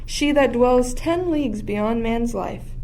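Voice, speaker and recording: A woman's voice: fairly high pitch, animated, slow pace, darkish tone. A woman playing a sly, ambitious usurping duchess in a Shakespearean radio play, insinuating and theatrical. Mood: scheming, insinuating. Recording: faint background noise.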